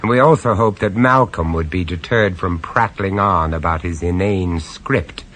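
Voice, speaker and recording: A man narrating a radio horror story in the first person, measured and dramatic, with dry sarcasm when he speaks to the others. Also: low-pitched, fairly bright tone. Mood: grave. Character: grave, wry male storyteller on a castle tour.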